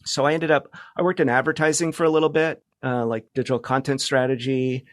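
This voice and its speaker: A middle-aged man talks as a guest on a casual podcast. His voice sits at an average pitch and sounds dry, with little room around it. He is understated and reflective, piecing his thoughts together in halting phrases. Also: steady tone, no echo. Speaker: podcast guest, media professional. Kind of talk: casual conversational interview.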